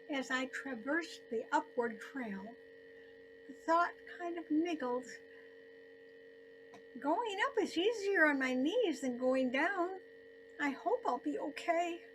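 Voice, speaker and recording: An elderly woman tells a personal story she has written. Her voice sits a little high, and she speaks very slowly and deliberately, pausing often between short phrases. There is faint noise in the recording. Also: low-quality recording. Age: 70s or older. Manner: deliberate phrasing with frequent pauses. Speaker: storyteller narrating own story. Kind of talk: scripted personal story narration.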